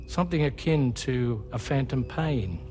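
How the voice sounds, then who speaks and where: A man's voice: mid-range voice, expressive, unhurried, mellow, faint music behind, lightly acted. An actor playing a wistful father, a seafaring former doctor and researcher, telling his story reflectively in a video game cutscene. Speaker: ship captain and former scientist.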